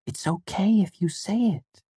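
A man voicing a small, shy young woman who speaks softly and tenderly to comfort someone. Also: high-pitched, a little slow, breathy edge, dry recording, voice pushed up, heavily acted. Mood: gentle coaxing. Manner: soft, quiet, tender.

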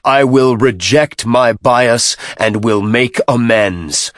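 A man voicing an ancient alien machine oracle for an audiobook, booming out slow, solemn proclamations. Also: fairly bright tone, dry recording, studio quality. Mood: solemn resolve. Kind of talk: audiobook character voice.

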